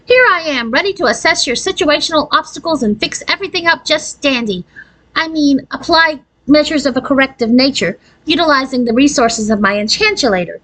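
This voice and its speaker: Lady doing a pompous fairy godmother for a storybook, high voice, nervous, super official sounding. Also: lively intonation, brisk, a bit bright, low-quality recording. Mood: happy, eager. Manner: pompous, formal bureaucratic wording. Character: anxious, self-important fairy godmother woman spouting officialese.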